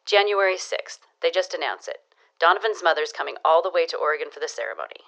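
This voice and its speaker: Sly, low-voiced gossip. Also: female voice, quick pace, fairly bright tone. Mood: confiding. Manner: arch, knowing, teasing. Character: gossipy, sly woman, dishing neighborhood romance news. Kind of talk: period audio drama dialogue.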